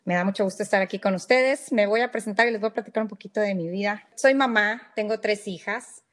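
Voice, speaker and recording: A woman's voice: mid-range voice, fast talker. A woman in her thirties from Mexico, with a northern Mexican accent, earnestly telling her personal story as a podcast guest. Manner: candid, earnest, with fillers and restarts.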